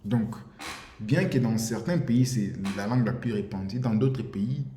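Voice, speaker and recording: A man's voice: mid-range voice, unhurried, mellow. Guy ranting passionately, keeps repeating himself and tripping on words, echoey room with faint background hum. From DR Congo. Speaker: commentator. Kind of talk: impassioned monologue to camera. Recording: roomy echo with faint ambience.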